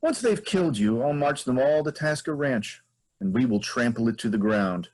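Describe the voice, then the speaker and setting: A man playing a defiant, taunting insurrectionist in a staged play reading, with a husky voice and dry delivery. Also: mid-range voice, expressive, moderate pace, lightly acted. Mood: cool taunting.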